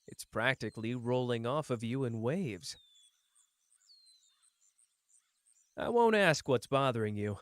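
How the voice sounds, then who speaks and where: A man's voice: mid-range voice, animated, normal speed, natural voice. A man playing a cocky, playful young sorcerer who teases and cares, speaking smugly and intimately to the listener in an ASMR roleplay. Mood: gentle, perceptive. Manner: teasing, smug, drawling, intimate. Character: cocky, playful young male sorcerer, teasing but caring.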